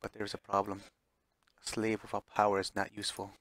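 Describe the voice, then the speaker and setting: A man's voice: lowish voice, monotone, quick pace, a bit bright, close to an everyday voice. A man voicing a cruel, seductive villainess in a visual novel, taunting and confident with vengeful glee. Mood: smug, teasing.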